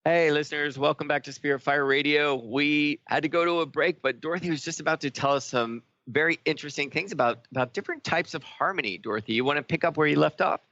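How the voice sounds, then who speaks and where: Gravelly-voiced man hosting radio talk. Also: on the high side, quick pace, few pauses, pushed and bright, no room sound, muffled recording. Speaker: radio show host. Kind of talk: casual spiritual talk show conversation.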